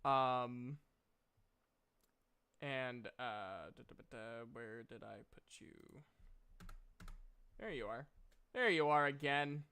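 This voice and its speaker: A man improvising narration as game master of a tabletop fantasy game, halting as he thinks aloud, with playful asides. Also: medium pitch, expressive, sharp tone, clear, resonant tone.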